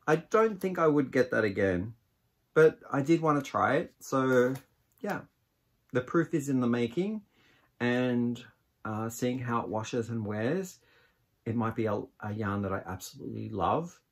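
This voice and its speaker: Slow-paced man chatting about his hobby. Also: medium pitch, lively intonation. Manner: unhurried, musing, thinking aloud. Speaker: yarn vlogger, host. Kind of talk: casual product review chat to camera. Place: small indoor craft room with shelves of yarn.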